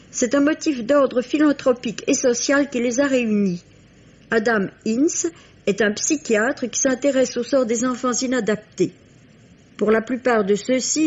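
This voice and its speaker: A slightly husky woman reading a scripted book review on the radio in a deliberate, literary manner. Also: fairly high pitch, a little mellow. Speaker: book critic. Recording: dull, lo-fi vintage radio recording.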